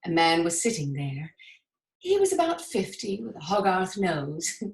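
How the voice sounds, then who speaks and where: A gushing 1950s actress played by a woman delivers a theatrical letter monologue. She is effusive and emphatic, savouring her phrases on a slightly low-pitched voice in a roomy, echoing space. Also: big pitch swings, measured pace, creaky, exaggerated voice. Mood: enchanted, savouring. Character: gushing 1950s American actress writing to her dearest friend.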